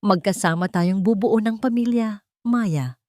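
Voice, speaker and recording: A woman's voice: mid-range voice, unhurried, darkish tone, dry recording, studio quality, natural voice. A woman voicing a rich young man in an audio drama, a deceitful lover making earnest romantic promises. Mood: tender.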